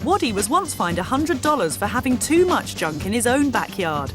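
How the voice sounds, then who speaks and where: A woman's voice: fairly high pitch, very animated, moderate pace. A woman giving scripted narration for a competition show in an upbeat, punchy way. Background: music.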